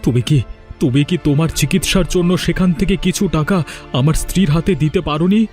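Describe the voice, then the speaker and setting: Man acting an earnest, high-pitched husband. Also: fast talker, darkish tone, soft background music, lightly acted. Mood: reproachful. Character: earnest adult son and husband, protective of wife. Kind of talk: Bengali animated family drama acting.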